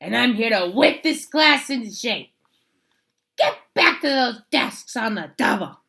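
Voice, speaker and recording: A woman's voice: on the high side, animated, unhurried, vocal fry, sharp tone, voice pushed up, full character voice. A woman voicing a strict, menacing teacher with a scratchy voice, read aloud from a storybook. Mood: stern. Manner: stern, commanding.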